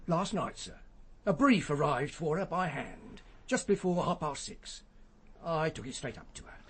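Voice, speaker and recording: A man's voice: on the high side, lively intonation, measured pace, husky. An actor playing an anxious, deferential ageing senior clerk in a radio crime drama, an older man with an English accent and a clipped, fretful manner. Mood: deferential, nervous.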